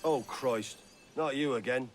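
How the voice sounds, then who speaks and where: A man playing a gruff, irritable older tavern keeper in a video game, brusque and scornful. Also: medium pitch, unhurried, over music, lightly acted. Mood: exasperated, scornful. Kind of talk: dramatic video game cutscene acting.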